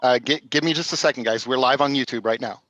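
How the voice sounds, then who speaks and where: A man hosting a live-streamed play reading over a video call, speaking in a hurried, apologetic way. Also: medium pitch, a bit bright, no echo, dull audio. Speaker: event host. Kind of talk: casual live-stream announcements.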